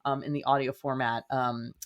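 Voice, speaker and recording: A woman talks as a guest writer in a relaxed interview. Her delivery is earnest and reflective, wandering from one thought to the next as she explains. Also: rumbling low, moderate pace, dull audio, a crowd in the background. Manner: earnest, meandering. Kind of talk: casual, reflective interview talk.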